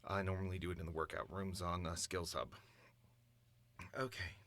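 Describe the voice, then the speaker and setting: A man's voice: sits low, steady tone, moderate pace, fairly bright tone. A man chatting casually and thinking aloud as an amateur voice actor in a recording booth.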